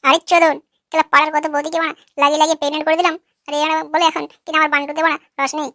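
A man voicing an irritable, foul-mouthed young husband in a comic cartoon, with a sharp, artificially raised voice and a combative manner. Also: very high-pitched, level tone, racing, no room sound, studio quality, voice pushed up, heavily acted. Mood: defensive. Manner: combative, crude.

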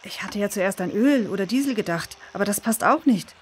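A woman's voice: medium pitch, animated, a little fast, lightly acted. Woman as a confident researcher, faint stream noise. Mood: pondering. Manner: matter-of-fact, reassuring. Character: confident, calm river researcher fond of idioms. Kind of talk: children's audio drama acting.

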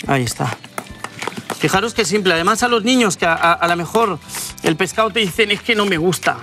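A man's voice: high-pitched, animated, fairly quick, music in the background. A man, hosting a cooking show and chatting enthusiastically as he cooks. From Spain. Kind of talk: cooking demonstration. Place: TV studio kitchen set.

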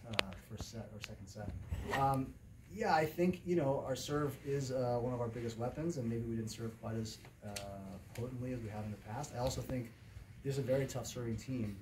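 Man, middle-aged, coach at press conference, brisk pace, distant microphone, clear background noise. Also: fairly low pitch. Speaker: volleyball coach. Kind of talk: post-game press conference answers. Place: indoor press conference room. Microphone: distant camera microphone. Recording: distant camera pickup with clear room noise.

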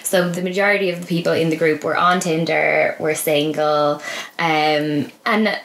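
A woman's voice: sits low, steady tone, moderate pace, vocal fry, fairly bright tone. A young Irish woman telling stories casually as a podcast guest, in a rambling, conversational way, in a small room. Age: young adult. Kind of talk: casual storytelling chat. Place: small indoor room with beanbags. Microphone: podcast microphone on stand. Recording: roomy, echoing small room.

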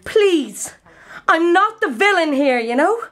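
A very high-pitched Irish girlfriend, exasperated and scolding. Her delivery is emphatic and animated, in naturalistic radio drama style, as she nags like someone at the end of her patience. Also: slow pace, exaggerated voice. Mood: exasperated pleading. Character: exasperated, nagging long-suffering girlfriend.

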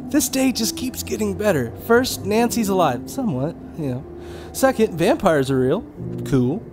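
A man's voice: high voice, big pitch swings, normal speed, background noise. A man playing an impatient, wisecracking young sidekick in an audio drama, nervy and flippant. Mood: amazed, excited.

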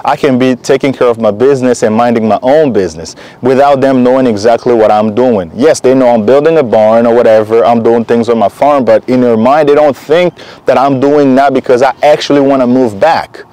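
A young man from Congo speaks in a relaxed, earnest vlog voice. His tone is mellow and warm, and he strings loose sentences together as he encourages the listener. The recording is dry and close. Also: medium pitch, brisk, no echo, poor audio. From Congo Kinshasa. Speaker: vlogger. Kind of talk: casual motivational vlog talk.

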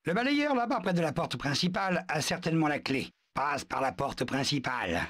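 A bossy soldier-like animal. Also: male voice, on the high side, big pitch swings, a bit bright. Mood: commanding. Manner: brisk, commanding, self-important. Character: bossy military-minded male zoo animal. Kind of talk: video game dubbing.

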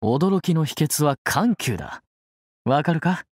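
An actor playing a playful, confident sword warrior in a game, knowing and showy in delivery. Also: male voice, on the high side, animated, slow, slightly rough, dry recording, clean studio sound, full character voice. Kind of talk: Japanese game character acting.

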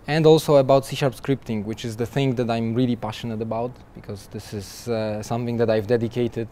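A man's voice: medium pitch, steady tone, a little fast, warm tone, a little hiss or hum. A young man being interviewed at a conference booth, chatting freely with frequent restarts and repeated words and light laughs. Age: young adult. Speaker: guest software developer. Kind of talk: conversational interview answers.

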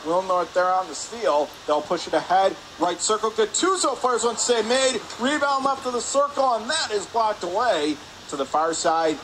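A man giving live radio-style hockey play-by-play in clipped phrases, getting excitable on big plays. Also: sits high, normal speed, poor audio. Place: indoor ice hockey arena. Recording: clear rink noise behind. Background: arena ambience and noise.

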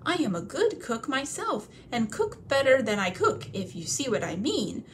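A woman's voice: high-pitched, sing-song, moderate pace, slight background noise, pitched above the performer's own voice. A woman voicing a frightened, polite male hobbit who stammers and pleads breathlessly. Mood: pleading.

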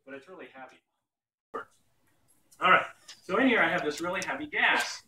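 A man's voice: on the high side, expressive, normal speed, sharp tone. A middle-aged man teaching as a chemistry professor, giving a playful live demonstration to a class in a lecture hall. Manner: projecting to a class, playful showmanship. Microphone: far camera in the audience. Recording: distant, echoey, noisy phone-style room recording.

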